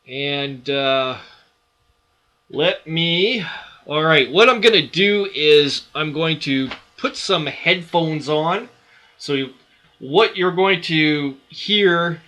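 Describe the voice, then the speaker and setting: Guy walking through a recording software tutorial, takes his time, lots of uhs, bright tone. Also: fairly high pitch. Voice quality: loud voice. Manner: unhurried step-by-step explaining with many fillers. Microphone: desktop studio microphone.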